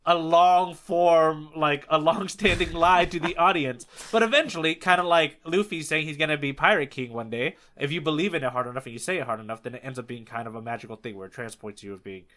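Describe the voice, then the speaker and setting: A man's voice: a little high, a little fast, hardly any pauses, fairly bright tone. A man co-hosting a podcast, talking casually and enthusiastically about stories and manga. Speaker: podcast co-host.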